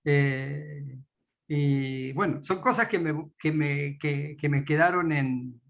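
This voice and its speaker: A man's voice: a little high, slow pace, vocal fry, highs cut off. A man with an Argentine Spanish accent introducing a guest at a church meeting, hesitant, with many fillers. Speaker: meeting host.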